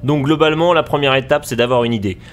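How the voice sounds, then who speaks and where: A man's voice: mid-range voice, fast, natural voice. A man playing a bossy, keen start-up team leader in a comic audio drama, with a pompous, schoolmasterly manner. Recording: music playing under the voice.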